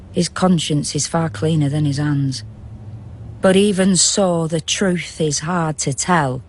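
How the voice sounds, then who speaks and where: An actor narrating a poetic radio drama as a solemn, all-seeing storyteller, speaking in measured, rhythmic verse. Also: medium pitch, a little hiss or hum, close to an everyday voice. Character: solemn omniscient storyteller of a city at night.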